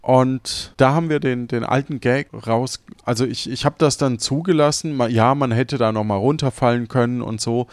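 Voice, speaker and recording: A man talks as a podcast host in an easygoing conversational way. His mid-pitched voice is recorded close and dry, with no room around it. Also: normal speed, hardly any pauses, no room sound. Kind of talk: casual podcast chat about an improvised audio adventure.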